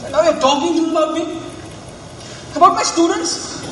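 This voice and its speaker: An actor in a stage play playing a jealous, reproachful college teacher with a dry manner. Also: male voice, very high-pitched, normal speed. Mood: angry. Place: theatre stage, recorded from far off. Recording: very echoey hall, distant mic, noisy.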